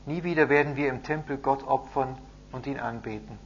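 A man's voice: medium pitch, a little fast, creaky, natural voice. Man reading as a subdued, sorrowful old grandfather. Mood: sorrowful, resigned. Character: sad, resigned old Israelite grandfather in exile. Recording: muffled audio with hum and noise.

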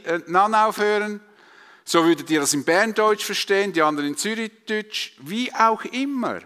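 Male preacher, Swiss German accent, high-pitched, emphatic sermon delivery, long hall reverb. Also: sharp tone, clean tone. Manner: emphatic, rhetorical repetition and questions. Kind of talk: sermon to a congregation.

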